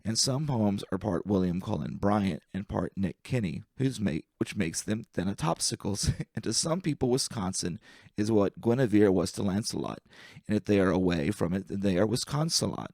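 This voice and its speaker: A man reading humorous poetry aloud as an amateur, with a deliberate, wry delivery and careful enunciation. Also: fairly low pitch, lively intonation, creaky, a little mellow, no room sound.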